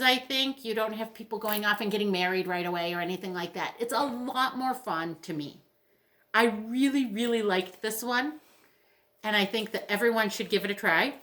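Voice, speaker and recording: A woman's voice: on the high side, bright, forceful tone. A middle-aged woman giving a casual, chatty and enthusiastic book talk as a librarian recommending titles.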